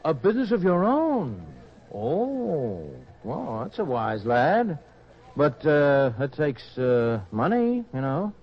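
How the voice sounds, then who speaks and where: An actor playing an ingratiating, worldly pleasure-seeker, theatrical and drawn out, in old-time radio style. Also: male voice, a little high, wildly swooping pitch, takes it slowly, full character voice. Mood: sly, coaxing. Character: smooth-talking, worldly hedonist man luring a youth. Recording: muffled radio-play audio.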